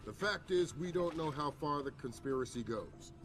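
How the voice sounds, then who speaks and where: An actor playing an analytical senior intelligence adviser in a video game, measured, articulate and serious. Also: male voice, fairly high pitch, lively intonation, over music, lightly acted. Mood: cautioning. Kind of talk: video game cutscene acting.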